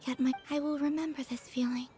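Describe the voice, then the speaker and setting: A woman voicing a gentle, curious, otherworldly young woman in a game, speaking softly in simple, sincere sentences. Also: sits high, fairly even intonation, fairly quick, lightly acted. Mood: gently pleased. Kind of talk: animated game dialogue. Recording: music playing behind.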